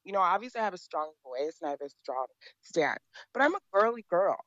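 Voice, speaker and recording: A woman's voice: fairly low pitch, sing-song, fast talker, fairly bright tone, no echo, dull audio. A woman speaking as an interview guest, blunt and emphatic, repeating her points. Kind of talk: opinionated interview talk.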